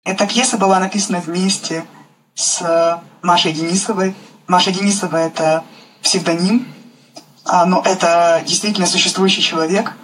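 A woman's voice: fairly low pitch, steady tone, normal speed, fairly bright tone, slight background noise, poor audio. A woman from Ukraine, a playwright, speaking spontaneously in an interview and often searching for words.

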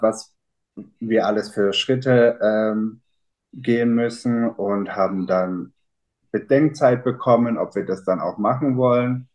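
Low-voiced man in his thirties chatting. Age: 30s. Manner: relaxed, rambling with many fillers. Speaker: interview guest, father. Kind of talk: casual interview answers.

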